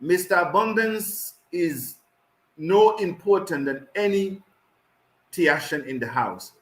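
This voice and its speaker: Man, host, reads out viewer greetings, stumbles over names, fairly high voice, roomy echo. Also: expressive, a bit bright. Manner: reads names haltingly with frequent laughs. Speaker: host of an online community call. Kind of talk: reading out chat greetings.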